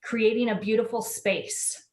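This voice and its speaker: A woman's voice: on the high side, little pitch movement. Upbeat woman interviewer, echoey room. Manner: upbeat, warm questions, quick laughs. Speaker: podcast co-host interviewer. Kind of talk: casual interview chat.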